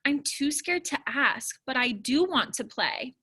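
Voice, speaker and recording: A woman's voice: high voice, expressive, unhurried, sharp tone, breathy edge, full character voice. A woman voicing a timid, left-out little schoolgirl who longs to play, in a children's storybook, with a childlike lift. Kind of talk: children's storybook read-aloud.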